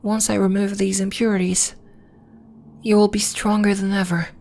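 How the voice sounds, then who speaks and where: A woman playing a gentle, earnest alien temple healer in an ASMR roleplay, speaking in a measured, formal, reassuring way. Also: mid-range voice, flat delivery, creaky, lightly acted. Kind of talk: slow, soft ASMR roleplay acting.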